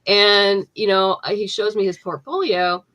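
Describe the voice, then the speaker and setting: A woman's voice: mid-range voice, measured pace, fairly bright tone. A woman writer being interviewed, talking casually with lots of fillers and restarts. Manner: chatty, many fillers and restarts, laughs easily. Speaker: comic book writer, guest.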